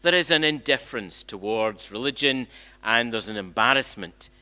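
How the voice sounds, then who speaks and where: A man's voice: mid-range voice, expressive, moderate pace, sharp tone. An older man preaching a conversational sermon as a minister in a church, often restarting and repeating phrases and posing rhetorical questions. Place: church sanctuary, indoors. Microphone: gooseneck lectern microphone.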